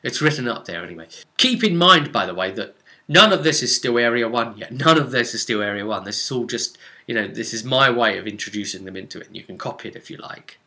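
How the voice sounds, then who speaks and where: A man's voice: medium pitch, expressive, quick pace, sharp tone. A man recounting a tabletop role-playing session as game master, informal and rambling, with descriptive detail and dramatic emphasis on key words.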